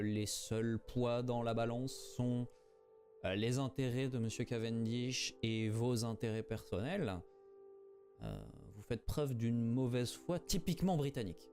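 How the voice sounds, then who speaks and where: A man doing a sardonic, long-winded associate in a tabletop game, with a slow, dark and mellow voice. He builds ornate sentences around many fillers, and faint music plays underneath. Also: medium pitch, natural voice. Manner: elaborate, formal phrasing full of fillers. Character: wordy, sardonic associate of a gentleman. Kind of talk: voicing a player character in a tabletop game.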